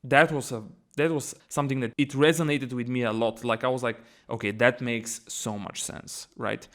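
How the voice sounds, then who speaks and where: A man's voice: medium pitch, fairly even intonation, normal speed, a bit bright. A man co-hosting a podcast, chatty and easygoing, moving the conversation from topic to topic. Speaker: podcast co-host.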